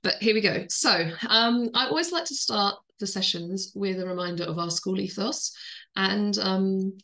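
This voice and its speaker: A woman teacher giving a talk with a croaky voice because she is a little ill, speaking earnestly and informally with many fillers. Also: mid-range voice, moderate pace, pushed and bright. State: croaky voice from illness. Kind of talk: recorded teacher-training talk.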